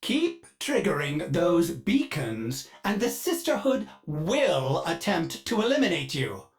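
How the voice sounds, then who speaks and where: A man's voice: a little high, huge pitch swings, slow pace, heavily acted. An actor playing a polite, fussy male ship AI in a video game who speaks of himself in the third person, heard through a glitchy robotic voice filter. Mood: warning. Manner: sudden dark glitched outbursts.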